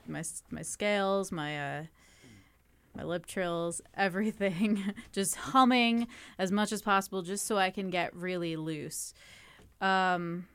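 A woman's voice: lowish voice, a bit bright, smooth, dry recording. A young woman singer answering interview questions in a chatty way, with frequent "um" and "like" and little laughs. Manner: chatty, laughs often, fillers like "um" and "like".

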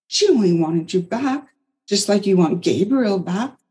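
A woman voicing a protective older caretaker who speaks firmly and chides gently. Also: mid-range voice, animated, a little slow, voice pushed up, lightly acted. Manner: firm, chiding, motherly.

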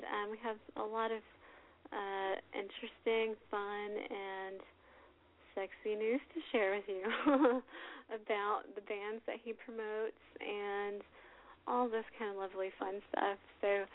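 A woman hosting a casual radio interview, bubbly and chatty, laughing often between questions. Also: medium pitch. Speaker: radio show host. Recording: phone-like lo-fi audio.

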